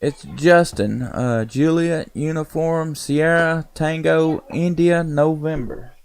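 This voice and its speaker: A man's voice: on the high side, steady tone, slow, noisy background. A man chatting casually and in a folksy, laid-back way as an amateur radio operator on a live stream. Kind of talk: casual ham radio chat.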